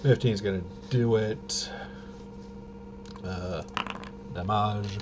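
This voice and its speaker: Slow, halting man, noisy recording. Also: on the low side, steady tone. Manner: halting, muttering to himself. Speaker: tabletop RPG player and presenter. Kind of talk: casual think-aloud gameplay commentary.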